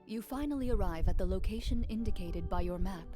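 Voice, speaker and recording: A woman plays a composed, serious swordswoman telling a fantasy tale in a video game. Her mid-pitched delivery is formal and measured, with music clearly underneath. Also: lightly acted. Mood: solemn narrating. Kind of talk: anime video game voice acting, storytelling narration.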